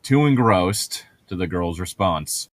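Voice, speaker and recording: Low-voiced, sweary man reading aloud. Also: lively intonation, takes it slowly, no room sound, clean studio sound. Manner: sweary, self-interrupting. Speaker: co-host of a book read-aloud show. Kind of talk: reading a novel aloud with casual joking banter.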